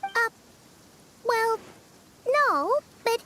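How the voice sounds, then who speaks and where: Tiny game fairy, extremely high childlike voice, swooping intonation, blunt, inquisitive, chirpy. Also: female voice, animated, drawn-out words, sharp tone, slight background noise, full character voice. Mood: concerned. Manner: blunt, inquisitive, casual phrasing. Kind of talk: video game voice acting. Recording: music behind the voice.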